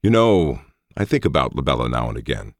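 A man's voice: rumbling low, big pitch swings, a little fast, dry recording, clean studio sound. A man voicing a flamboyant lord in an audiobook, a jovial friend given to theatrical, exclamatory mock outrage. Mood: wistful, teasing. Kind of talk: audiobook character voice.

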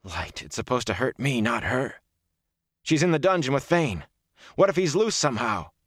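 A man's voice: low-pitched, lively intonation, normal speed, a bit bright, dry recording, clean studio sound. A man voicing a troubled, stubborn young village man in a fantasy audiobook, earnest and insistent. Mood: urgent, worried.

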